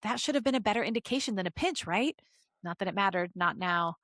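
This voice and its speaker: A woman podcast host reading fan fiction aloud as an expressive story narrator. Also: medium pitch, fast, dry recording, studio quality.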